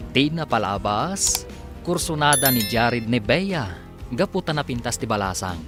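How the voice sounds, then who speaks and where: A male announcer with an Ilocano accent narrates a radio serial in a dramatic, scripted style. His delivery is highly animated and swooping, with clear music behind it. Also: a little slow.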